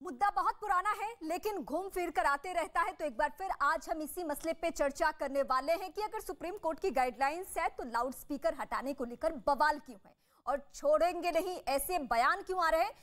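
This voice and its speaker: High-pitched woman anchoring a news debate. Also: brisk, a bit bright. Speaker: television news debate host.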